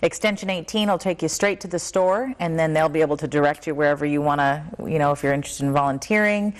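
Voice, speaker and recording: Female American guest, slightly low pitch, casual and informative conversational delivery. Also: fast talker. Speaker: talk show guest.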